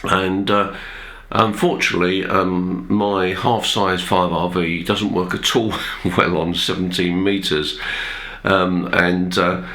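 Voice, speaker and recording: A man's voice: sits low, flat delivery, slow, fairly bright tone. A man with a nasal, congested voice from a cold, presenting amateur radio talk in an informal, hesitant, conversational way. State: has a cold, throat-clearing. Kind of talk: informal explanatory talk to viewers.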